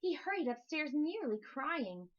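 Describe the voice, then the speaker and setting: A woman reading a rhyming children's story aloud in an expressive narrating style. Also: high voice, unhurried, highs cut off. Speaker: storybook reader.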